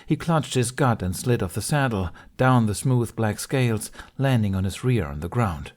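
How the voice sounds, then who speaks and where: A man reading a fan fiction story aloud with steady, expressive storytelling. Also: fairly low pitch, moderate pace. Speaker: podfic reader. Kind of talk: fanfiction audiobook narration.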